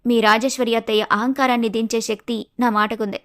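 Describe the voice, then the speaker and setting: A woman voicing a wise, composed young wife in a story, persuasive and measured. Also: on the high side, level tone, lightly acted. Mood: persuasive, determined.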